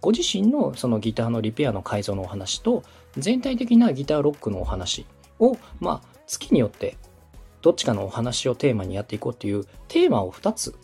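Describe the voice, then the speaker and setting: Husky, quick-talking man coaching in lively tones. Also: mid-range voice, soft background music. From Osaka, Japan. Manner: methodical, instructive, polite. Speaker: radio director coaching a new podcaster. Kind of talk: structured advisory talk, polite explanation.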